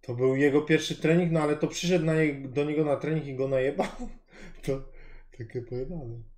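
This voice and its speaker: A man's voice: a little high, fairly even intonation, moderate pace, a little mellow. A man in his thirties giving casual, blunt commentary on a live stream, musing aloud and swearing freely. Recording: stream audio with music underneath. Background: music.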